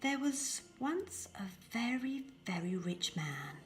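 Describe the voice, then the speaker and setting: A woman reading a fairy tale as expressive, deliberate narration, with hushed phrase endings. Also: a little high, soft background music. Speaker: storybook reader.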